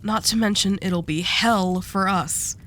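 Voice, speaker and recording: A woman's voice: medium pitch, measured pace, fairly bright tone, lightly acted. A woman playing a dominant, seductive mafia boss in a roleplay audio drama, teasing and possessive with a coaxing menace. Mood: calm, calculating.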